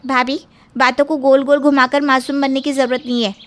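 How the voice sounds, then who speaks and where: Casting: woman as outspoken college-age sister-in-law, cartoon story. High voice, impatient, blunt, fearless. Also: little pitch movement, brisk, soft background music, lightly acted. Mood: defiant, complaining. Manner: blunt, complaining. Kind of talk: Hindi cartoon moral-story acting.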